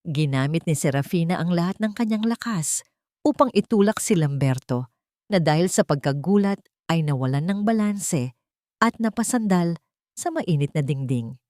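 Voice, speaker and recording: A woman's voice: lowish voice, expressive, moderate pace, darkish tone, no echo, clean studio sound. A woman reading a scripted romance drama story as its narrator, in a dramatic storytelling style.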